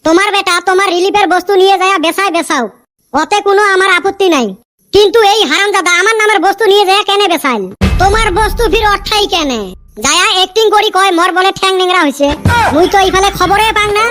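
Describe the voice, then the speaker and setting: A man's voice: extremely high pitch, fast. A man voicing a grumbling village man in a cartoon, speaking a northern Bengal village dialect and cursing often. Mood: indignant. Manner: cursing, complaining, lively rural banter. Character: squeaky-voiced village man, grumbling and quick to curse. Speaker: cartoon character.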